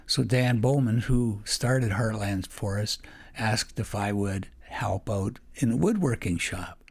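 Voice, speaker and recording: A man's voice: on the low side, takes it slowly, gravelly, husky. An older man, a retired teacher, telling long rambling stories as a podcast guest, often restarting and laughing. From Canada. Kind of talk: casual storytelling interview.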